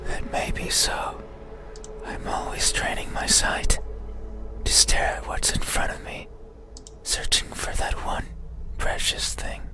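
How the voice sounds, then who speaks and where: A performer voicing an eerie, hollow, mysterious being in a breathy, halting whisper for a horror game. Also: lightly acted. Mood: brooding, desperate. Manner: slow, halting, hushed delivery. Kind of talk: horror visual novel voice acting. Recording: whisper under loud music. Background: loud music.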